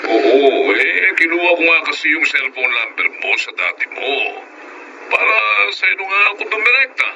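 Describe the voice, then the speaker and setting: A man's voice: a little high, sing-song, moderate pace, creaky, lo-fi sound, background noise. A man playing a pushy, entitled older Filipino uncle who wheedles and blusters in a radio drama. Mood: pushy wheedling. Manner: wheedling, blustering, peppered with interjections.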